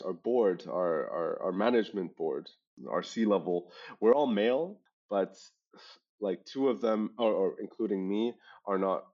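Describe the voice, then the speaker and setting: A man from Hong Kong with an international school English accent, telling stories as an interview guest in a halting, self-correcting, emphatic way. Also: lowish voice, darkish tone. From born and raised in Hong Kong. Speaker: startup founder and interview guest. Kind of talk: conversational interview answers, storytelling. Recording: dull lo-fi podcast audio.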